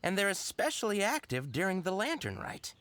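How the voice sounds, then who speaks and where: A woman's voice: mid-range voice, very animated, brisk, fairly bright tone, a little hiss or hum. A woman voicing an evasive, secretive underworld informant in a video game, coy and playfully shifty. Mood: confiding. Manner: coy, hesitant cover-ups, storytelling flourish. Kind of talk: video game character dialogue.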